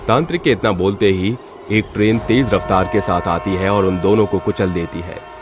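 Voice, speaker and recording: Man, low voice, scripted horror storytelling, narrator, music clearly behind. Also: normal speed.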